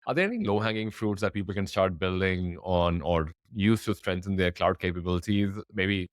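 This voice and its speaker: A man hosting a podcast interview, asking questions in an upbeat way with quick interjections. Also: low voice, no room sound, studio quality. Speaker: podcast host. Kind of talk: casual interview chat.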